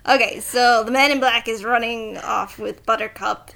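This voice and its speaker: A woman's voice: fairly high pitch, fairly even intonation, moderate pace, gravelly, sharp tone, rough recording. A woman hosting a casual film podcast, recapping the story and playfully acting out bits of it. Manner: acts out quoted lines playfully.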